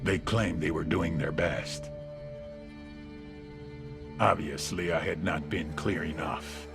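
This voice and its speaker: An actor playing a menacing, imperious drug cartel boss in a video game, measured and quietly threatening. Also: male voice, rumbling low, creaky, over music, heavily acted. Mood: cold menace. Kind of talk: video game cutscene acting.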